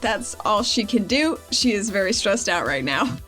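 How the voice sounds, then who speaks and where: A woman's voice: medium pitch, big pitch swings, normal speed, fairly bright tone, soft background music. A woman playing a tabletop game, describing her character's actions in a playful, informal way. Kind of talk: casual in-game description and chat.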